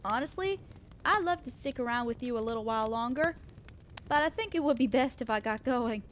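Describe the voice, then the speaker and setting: A fairly high female voice over some background noise , an actress in role as a kind centaur wanderer. She talks straight to the listener in an audio roleplay. Her delivery is earnest and gentle, with the hesitance of someone selfless who doubts herself. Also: lively intonation, brisk, lightly acted. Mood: torn, tender.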